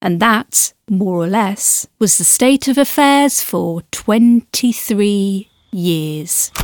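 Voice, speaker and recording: An actress playing a composed historian who reads her chronicle in a deliberate, literate audio drama narration with dramatic pauses. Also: medium pitch, darkish tone, no echo, lightly acted. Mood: measured, authoritative.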